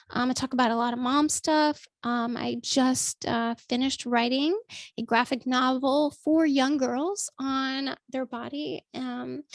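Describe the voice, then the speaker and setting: Woman vlogger, high-pitched and a bit strained, casual and filler-heavy, close and dry. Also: vocal fry, dry recording. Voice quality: naturally high voice. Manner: chatty, many ums, calls listeners folks. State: voice still a little strained after illness. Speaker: vlogger and writer. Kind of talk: casual talk to camera.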